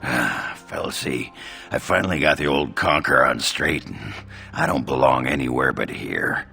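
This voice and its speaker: An actor playing a crude, boisterous, drunken dwarf warrior in a video game, with a gravelly, slurring voice. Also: male voice, very deep voice, expressive, a little slow, pushed and bright, faint music behind, exaggerated voice. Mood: defensive, gruff. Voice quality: gravelly, raspy.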